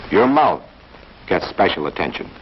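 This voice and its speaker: A man's voice: sits low, very animated, normal speed. A man narrating a 1940s training film in a dramatic, hard-boiled scripted style, as if talking to the character. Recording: muffled, faintly hissy 1940s film soundtrack.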